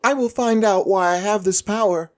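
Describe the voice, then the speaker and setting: A man's voice: high voice, pitched above the performer's own voice, close to an everyday voice. A man voicing an earnest, determined young boy who speaks insistently in an audio story. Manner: earnest, insistent, quick bursts. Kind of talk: audio fiction character voice.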